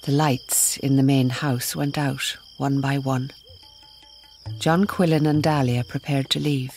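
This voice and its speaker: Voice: mid-range voice, steady tone, unhurried, a little mellow, faint music behind. A narrator delivering scripted narration for a radio drama.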